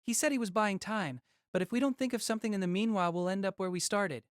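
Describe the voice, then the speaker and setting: Young male mage for audio drama acted by a man: nervy, irritable, halting delivery, dry close sound. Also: high-pitched, clear, resonant tone, no room sound, pitched above the performer's own voice, natural voice. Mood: anxious, impatient. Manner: hesitant, halting.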